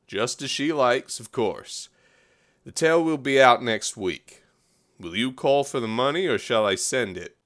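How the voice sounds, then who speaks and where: A man's voice: medium pitch, lively intonation, unhurried, a bit bright. An actor playing a worldly, businesslike male newspaper editor in a period audio drama, offhand and dismissive.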